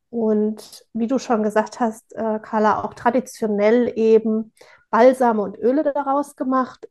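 A woman co-hosting a podcast in a relaxed, chatty way, often pausing with fillers as she explains. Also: fairly high pitch. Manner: warm, chatty, with frequent filler pauses. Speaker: podcast co-host. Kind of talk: casual podcast chat.

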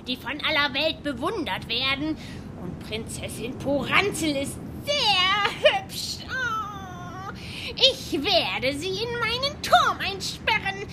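A woman's voice: very high-pitched, heavy background noise, full character voice. A woman playing a wicked, envious old witch in a fairy-tale radio play, with a theatrical, gloating delivery. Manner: theatrical, gloating, wheedling, with drawn-out words.

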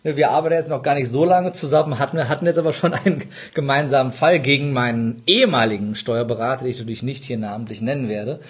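Halting male podcast interviewer, casual. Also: medium pitch. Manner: halting, rambling phrasing with word repetitions. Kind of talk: casual, colloquial interview chat.

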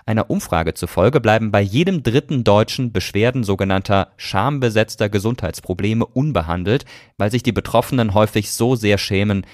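A man's voice: fairly low pitch, a little fast, few pauses, a little mellow, no echo, studio quality. A man hosting a feature programme, moving between scripted narration and interview questions. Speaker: podcast host.

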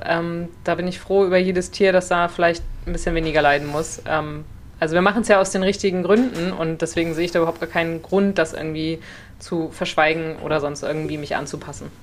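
Female podcast co-host, lowish register, informal and chatty delivery. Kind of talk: casual podcast chat.

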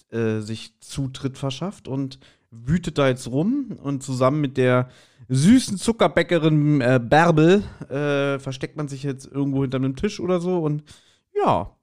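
Guy hosting a podcast, jokey and rambly, pokes fun at himself, mid pitched voice. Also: a little mellow. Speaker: podcast host. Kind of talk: casual, jokey podcast chat.

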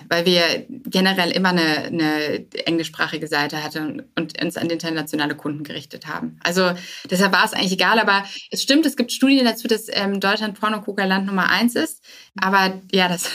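Casting: woman, mid-pitched, candid startup guest, conversational, sprinkles in English business terms. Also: sharp tone. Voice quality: English business loanwords mixed in. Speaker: interview guest, startup co-founder. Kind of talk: casual interview conversation.

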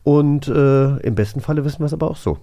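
Guy hosting a podcast, chatty and keen, middle pitch, just talking casually. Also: fast talker, mellow. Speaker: podcast host. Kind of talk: casual talk show chat.

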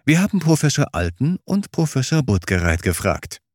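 A man narrating a scripted documentary in a measured, factual way. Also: mid-range voice, big pitch swings, a little mellow, no echo, studio quality.

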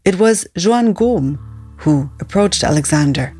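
A woman hosting a podcast, telling a story in calm, scripted narration. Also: fairly low pitch, measured pace, husky, faint music behind. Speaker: podcast host.

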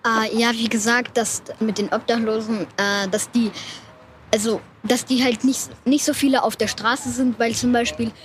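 A young woman with a fairly high voice speaks haltingly and searches for words. Faint ambient noise sits under her speech. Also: steady tone. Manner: halting, many fillers. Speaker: event participant.

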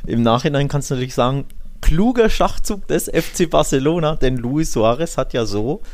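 A man's voice: mid-range voice, lively intonation, normal speed, darkish tone. A man hosting a football podcast, chatting casually and joking, thinking aloud with small fillers. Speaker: podcast host.

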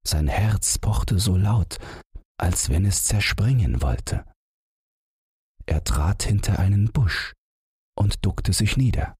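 A man narrating a bedtime fairy tale audiobook in a gentle, expressive storytelling manner. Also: deep voice, slow pace, long pauses, husky, dry recording, clean studio sound.

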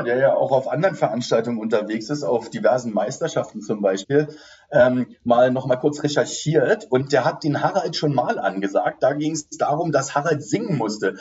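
A man's voice: medium pitch, darkish tone, highs cut off. Chatty, teasing male podcast host. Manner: chatty, teasing, laughs easily. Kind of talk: casual podcast interview.

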